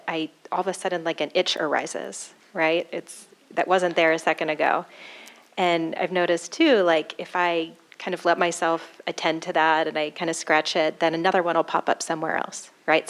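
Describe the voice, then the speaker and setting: A woman with a very quiet voice shares her meditation experience in a tentative way, full of fillers. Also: lowish voice. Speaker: student sharing in a group. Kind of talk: casual sharing. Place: meditation hall with a group.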